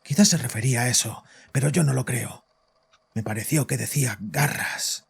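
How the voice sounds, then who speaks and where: An actor playing a distraught, domineering father in an audio drama, with a gravelly, husky voice and a halting, anguished manner of confessing. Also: medium pitch. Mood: uneasy, troubled.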